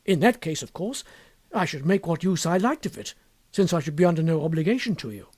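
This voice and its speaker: A man voicing an earnest, hot-tempered, wealthy young English gentleman in an audiobook story, speaking formally and emphatically. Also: a little high, sing-song, fairly quick, slightly rough, no room sound. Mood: earnest, insistent. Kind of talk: audiobook character dialogue.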